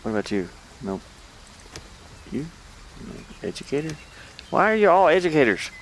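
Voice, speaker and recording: A man's voice: fairly high pitch, sing-song, moderate pace, a little hiss or hum, rough recording. A man giving casual gameplay commentary, puzzled and muttering to himself as he thinks aloud. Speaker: gamer playing and commenting on a game.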